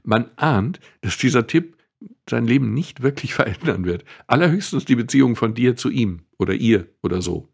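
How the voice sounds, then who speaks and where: A man's voice: medium pitch, expressive, normal speed, creaky, darkish tone, breathy edge. A man co-hosting a podcast, explaining things thoughtfully at length with some dry humor. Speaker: podcast co-host. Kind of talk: casual explanatory chat.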